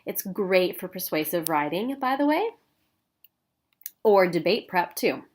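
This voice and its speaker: A woman with a stuffy nose from a cold, giving a recorded professional development talk for teachers with clear, paced explanation and frequent "um"s. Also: lowish voice, very animated, unhurried. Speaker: instructional coach.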